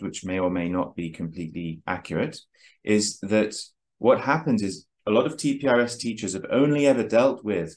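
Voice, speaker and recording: Measured, lecturing man with a low voice. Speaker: host. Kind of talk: explaining, then interviewing.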